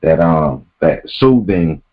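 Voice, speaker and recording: A male podcast host with a smooth voice, wrapping up his show in a laid-back way with casual slang and repetition. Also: very deep voice, expressive, takes it slowly. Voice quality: smooth "quiet storm" voice. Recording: dull lo-fi recording.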